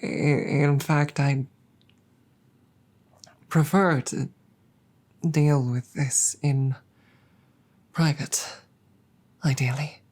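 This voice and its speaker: A woman voicing an embarrassed, affectionate dragon girlfriend in a roleplay audio drama, speaking formally and apologetically with many halting stammers. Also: low voice, steady tone, a little mellow, heavily acted. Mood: evasive, embarrassed.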